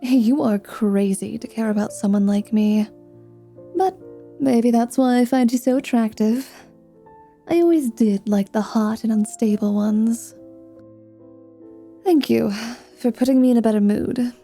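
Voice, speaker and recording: A woman performs a female half-vampire mercenary from a fantasy audio roleplay. She is sardonic, world-weary and a little unhinged, speaking slowly in a mellow, slightly high voice. Her delivery is teasing, dry and theatrical. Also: soft background music. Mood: flirty, teasing. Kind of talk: ASMR fantasy roleplay acting.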